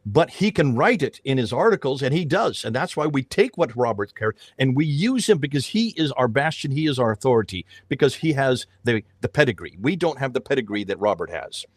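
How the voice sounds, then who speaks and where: Emphatic middle-aged man, lively pitch. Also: a little fast, hardly any pauses, dry recording, studio quality. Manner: emphatic, repeats phrases, restarts often. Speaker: host of a panel discussion, street preacher. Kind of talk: animated casual panel talk.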